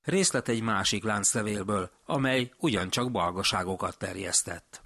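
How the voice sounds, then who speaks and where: A man's voice: fairly low pitch, bright, forceful tone, no echo, clean studio sound. A man presenting a radio science programme, narrating from a script and putting questions to guests.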